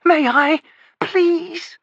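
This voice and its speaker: A man's voice: very high-pitched, extremely slow, creaky, a bit bright, no room sound, lo-fi sound, pitched above the performer's own voice, heavily acted. A man voicing an eerie, eager male corporal with a reedy, thin, whispery voice.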